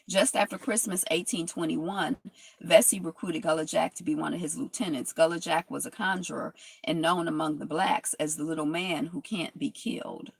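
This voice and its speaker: A woman's voice: fairly low pitch, vocal fry, slightly rough, no echo. A woman vlogger reading from a history text and rambling through reflective asides, with many fillers and restarts.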